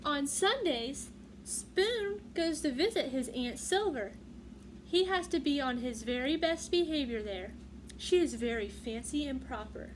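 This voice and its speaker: A woman's voice: high-pitched, lively intonation, unhurried. A woman reading a picture book aloud for young children in a teacherly way, putting questions to her listeners. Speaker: read-aloud storyteller. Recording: clearly noisy room background.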